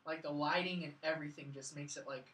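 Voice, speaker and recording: A woman's voice: low voice, steady tone, fast, fairly bright tone. A woman chatting casually as a film show co-host, recapping and critiquing in an exasperated way, recorded in an echoey room away from the mic. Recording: roomy echo, distant from mic.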